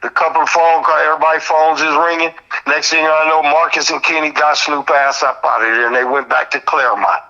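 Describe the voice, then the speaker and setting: An American man talks casually as an interview guest in a fairly high, piercingly bright voice. He is slangy and emphatic, repeating himself to drive points home. The sound is a bit dull, like radio. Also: steady tone, a little fast. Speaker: podcast guest.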